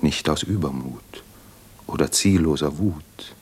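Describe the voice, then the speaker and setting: An actor playing a solitary poet, gravely reciting verse in a radio play with a husky, breathy, measured delivery. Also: male voice, a bass voice, darkish tone, exaggerated voice. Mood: solemn, melancholy. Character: solitary, anguished male poet reciting his own verses. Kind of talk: solemn poetry recitation in a German radio play.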